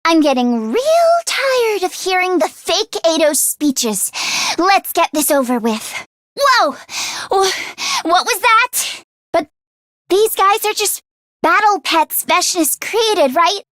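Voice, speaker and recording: A woman voicing an anxious, earnest teenage girl mechanic in a video game, with an expressive, hesitant delivery. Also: very high voice, slow pace, no echo, exaggerated voice. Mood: scared, nervous, then sad, pleading. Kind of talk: English video game voice acting, isolated voice lines.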